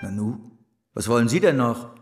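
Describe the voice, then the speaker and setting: An actor playing a shrewd, brusque and condescending male financier with a husky voice in a German radio play. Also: mid-range voice, animated, moderate pace, over loud music, lightly acted. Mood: brusque, impatient. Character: shrewd, brusque, smooth-talking male financier and bank director.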